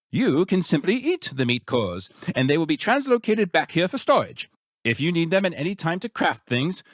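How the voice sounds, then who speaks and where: An actor playing an eccentric, grandiose mad scientist in a video game, secretly villainous, with a theatrical, pompous, wordy manner. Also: male voice, a little high, fairly bright tone, no room sound, clean studio sound. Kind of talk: video game character voice acting.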